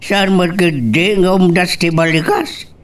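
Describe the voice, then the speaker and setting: A man's voice: sits high, a little slow, distant mic. A man playing a reproachful, weighty elderly father in an Ilocano radio love drama. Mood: pleading, earnest.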